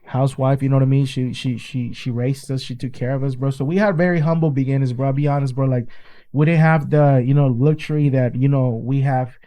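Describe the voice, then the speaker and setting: A man's voice: mid-range voice, fairly even intonation, fairly quick, dark tone. A man from Peru, a guest artist who has children, telling his story in a casual interview inside a car. He talks in a loose, earnest way and keeps saying "bro" and "you know what I mean.". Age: adult with children.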